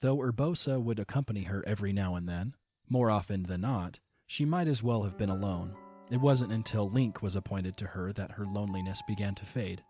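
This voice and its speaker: Low-voiced man narrating a story. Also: dark tone. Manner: measured, literary storytelling. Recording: voice over background music.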